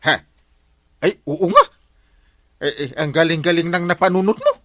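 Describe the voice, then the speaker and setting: Casting: male comic as naive Ilocano Filipino village captain, extremely lively intonation, highish forceful voice. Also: moderate pace, gravelly, noisy background, full character voice. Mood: naive, pleased. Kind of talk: Ilocano comedy radio drama acting.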